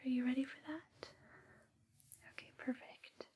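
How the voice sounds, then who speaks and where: A woman performing a whispered ASMR roleplay, gentle, soothing and unhurried, close on a binaural microphone. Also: sits high, fairly even intonation, breathy edge.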